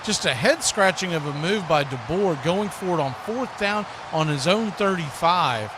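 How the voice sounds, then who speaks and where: A man with a high voice delivers live football commentary as a streaming host. His manner is excitable and conversational, moving between calling plays and chatting. Clear background noise runs behind him. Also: normal speed. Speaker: sports play-by-play host.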